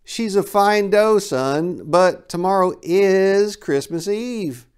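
A man's voice: high-pitched, takes it slowly, darkish tone, smooth, pitched above the performer's own voice. A man voicing a proud older reindeer father, indulgent and coaxing, in a story. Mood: pleased, coaxing.

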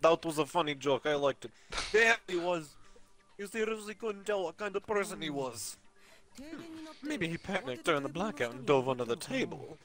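A man's voice: fairly high pitch, sing-song, normal speed, fairly bright tone. A man reading game dialogue in a jokey, loose amateur fan dub with friends.

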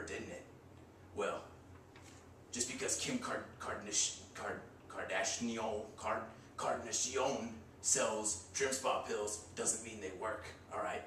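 A man's voice: fairly low pitch, a bit bright, slight background noise. A young man in his twenties co-hosting a comedy sketch, reading viewer emails in a brash, crude rant. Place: small indoor room against a bare wall. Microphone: far camera. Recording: echoey room from far off.

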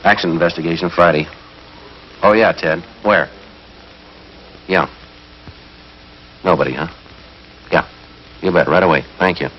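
A man's voice: low-pitched, measured pace, pauses often, a little hiss or hum. An actor in his thirties with an American accent playing a terse, stoic police detective, narrating in a clipped, matter-of-fact way. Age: 30s. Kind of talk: 1950s police drama narration. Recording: dull 1950s TV soundtrack, music underneath.

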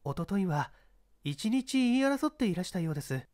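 A woman's voice: medium pitch, big pitch swings, normal speed, a little mellow, no echo, clean studio sound, close to an everyday voice. A woman playing a young actress who speaks politely and earnestly, pleading, in a dramatised audiobook.

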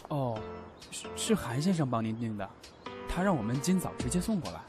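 A man's voice: medium pitch, big pitch swings, brisk, music in the background, natural voice. An actor playing a courteous, businesslike delivery man in an audio drama. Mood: polite. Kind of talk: audio drama acting in Mandarin.

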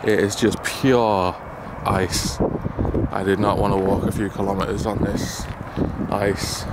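A man's voice: lowish voice, little pitch movement, unhurried, heavy background noise. A man chatting casually into a handheld camera as he walks through an apartment and out to his car, rambling and self-deprecating. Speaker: travel vlogger. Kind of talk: casual handheld vlog narration. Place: apartment, stairwell and icy outdoor car park. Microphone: handheld camera mic.

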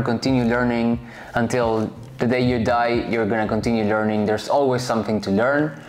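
A man's voice: lowish voice, a little hiss or hum. A man in his late twenties whose first language is Spanish, talking straight to camera in a casual, direct way with offhand asides. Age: late 20s. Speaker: vlogger. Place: bright home room, indoors.

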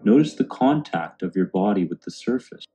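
A man calmly guiding a meditation in a soothing, unhurried way. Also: fairly low pitch. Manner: calm, soothing, unhurried instruction. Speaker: meditation guide. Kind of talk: guided meditation narration. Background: background music.

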